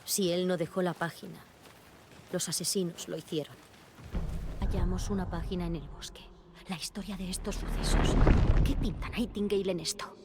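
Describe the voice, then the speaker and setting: An actress playing a composed, sharp female FBI agent in a dubbed video game, speaking in a measured, businesslike, analytical way. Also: lowish voice, fairly even intonation, heavy background noise, natural voice. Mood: focused, analytical. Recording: music behind the voice.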